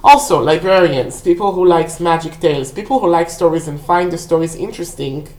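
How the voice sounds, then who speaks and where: A woman, a native Hebrew speaker, casually rambling through a book review for a vlog in a halting, self-correcting way. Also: lowish voice, a little mellow. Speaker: book haul vlog host. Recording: roomy, echoey indoor sound.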